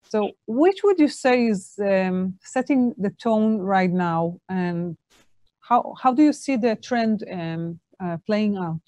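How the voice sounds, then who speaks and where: A woman's voice: mid-range voice, animated, takes it slowly, dark tone, dry recording. A woman hosting an interview, asking questions hesitantly with many fillers.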